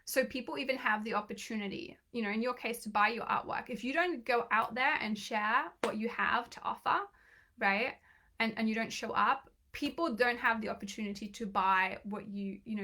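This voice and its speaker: Bright-voiced woman coaching, chatty and encouraging. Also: on the high side, steady tone, fairly quick. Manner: chatty, encouraging, many fillers and restarts. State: slightly croaky voice. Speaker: business coach. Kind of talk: live online training.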